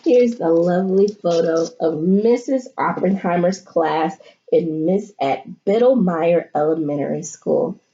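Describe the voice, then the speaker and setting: A woman teacher reading a picture book aloud to children and talking them through it, with expressive, dramatic delivery and drawn-out emphasis. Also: on the low side, lo-fi sound. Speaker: elementary school teacher.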